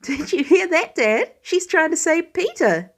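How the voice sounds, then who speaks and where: A woman's voice: extremely high pitch, lively intonation, unhurried, voice pushed up, exaggerated voice. A woman voicing a cheery, stubborn teenage boy with an eager, informal way of talking, in a story reading. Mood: excited, doting. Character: cheery, stubborn sixteen-year-old boy doting on baby sister.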